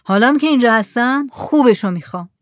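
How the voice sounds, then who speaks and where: A woman's voice: a little high, expressive, no echo, clean studio sound, pitched above the performer's own voice, natural voice. A woman reading the lines of a demanding, dismissive male mullah in a story. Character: pushy bargaining male mullah.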